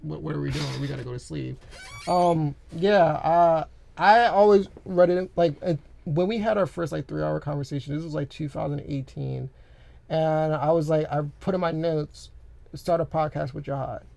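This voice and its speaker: A young man co-hosting a podcast in a studio, chatting casually in a rambling, animated way with lots of filler words. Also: a little high, moderate pace, gravelly, no echo. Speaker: podcast co-host. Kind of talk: casual chat. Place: recording studio. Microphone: studio microphone.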